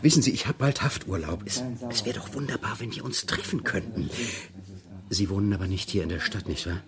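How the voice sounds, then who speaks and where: An actor playing a cultivated, middle-aged male prison inmate and seducer in a radio drama, courteous and flattering with hesitant pauses. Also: lowish voice, a crowd in the background, natural voice. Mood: pleading. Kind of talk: German radio drama acting.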